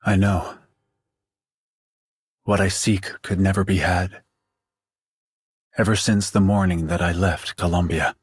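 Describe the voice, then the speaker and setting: Game role: haunted man, very low, husky and slow. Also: level tone, no room sound, full character voice. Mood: brooding. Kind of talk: video game character voice line.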